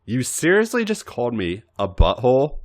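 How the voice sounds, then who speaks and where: A man voicing an older wrestler, calm, dry and insistently teasing, in an audio fan story. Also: medium pitch, normal speed, pitched above the performer's own voice.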